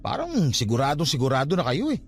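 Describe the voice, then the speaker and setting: A stubborn young Filipino nephew in a radio drama. His voice is high for a man and very animated, pleading and arguing defensively, with music underneath. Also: fast. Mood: puzzled, skeptical. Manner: pleading, argumentative, expressive.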